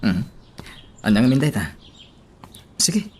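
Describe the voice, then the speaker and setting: A man acting a calm, attentive priest in an Ilocano radio drama. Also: medium pitch, takes it slowly, slight background noise.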